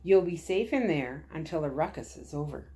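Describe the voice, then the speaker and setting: A woman voicing a calm, kindly military lieutenant in a story, gentle and earnest. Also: deep voice, moderate pace. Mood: hushed, serious.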